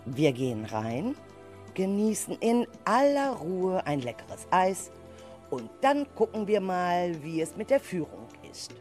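A performer playing a caring but firm adult group leader in a children's radio play, giving clear instructions to youngsters. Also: medium pitch, very animated, slow pace, darkish tone, faint music behind. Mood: organising, friendly. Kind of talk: scripted children's radio play, amateur acting.

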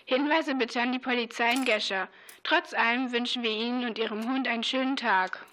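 A woman's voice: on the high side, a little fast, a bit bright, lightly acted. A female performer playing an earnest young radio news intern reading a script in a German radio play. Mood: serious.

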